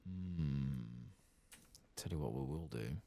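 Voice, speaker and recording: Man, very low husky voice, soft ASMR game commentary, murmured, drifting into whispers. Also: lively intonation, slow. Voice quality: breathy, gravelly, often slipping into whisper. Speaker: video game streamer.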